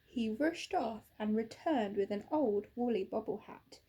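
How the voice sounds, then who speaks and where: A young woman teacher reads a picture book aloud, slow and gentle. Her voice is high, mellow and a little husky. She sits in a small room close to the camera. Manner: gentle, expressive. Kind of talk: children's picture book reading.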